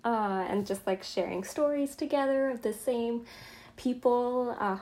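Casual woman interviewer with a slightly high voice. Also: a little slow, dark tone. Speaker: interviewer, host.

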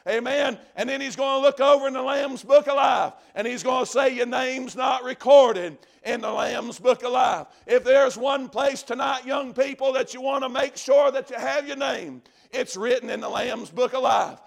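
A man preaches a fiery revival sermon, his voice pitched unusually high and rough with gravel. He swings between shouting and drawn-out, heavy emphasis, and he keeps circling back to the same phrases. He sounds like a preacher working up a congregation.

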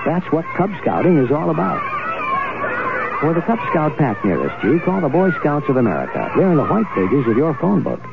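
Over a tinny old broadcast with crowd noise, a male announcer pitches persuasively. His scripted delivery swings widely in pitch and has a gravelly edge. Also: big pitch swings, moderate pace. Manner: rhetorical, persuasive. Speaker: announcer in a public service ad. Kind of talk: scripted promotional radio announcement. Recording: tinny old radio, noisy crowd behind.

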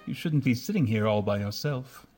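A man playing a proud, reserved young gentleman in a radio drama, speaking formally. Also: medium pitch, expressive, normal speed, breathy edge, soft background music. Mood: earnest. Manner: formal, restrained. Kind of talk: old-fashioned radio drama acting.

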